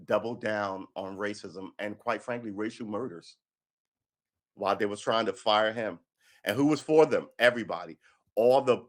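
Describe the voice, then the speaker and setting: Low-voiced New guy, live-stream host, reads an article aloud and cuts in with heated, cussing sarcasm. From New York City. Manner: sarcastic, profane, heated asides. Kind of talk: reading an article aloud with running commentary. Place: indoor room by a window.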